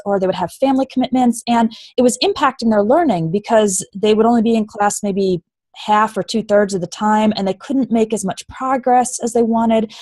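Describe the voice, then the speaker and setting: Teacher-turned-founder guest, woman, crisp fluent delivery, slightly high, dead-dry mic. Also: darkish tone, no room sound. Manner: clear, fluent storytelling. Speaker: online English teacher, guest. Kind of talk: interview answers.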